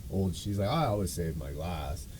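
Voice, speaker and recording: A man's voice: low-pitched, lively intonation, normal speed, a little mellow, slight background noise. A man hosting a podcast, telling a story in a casual, rambling, self-deprecating way with loose swearing. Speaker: podcast and show host.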